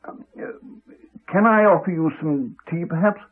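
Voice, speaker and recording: A man acts an elderly village doctor in a 1980s radio play. His voice is slightly high and husky, with halting, polite, rueful talk. The recording is muffled and narrow, like old radio. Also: lightly acted. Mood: rueful, courteous. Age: older. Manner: halting, apologetic, rambling, courteous. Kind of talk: 1980s radio drama acting.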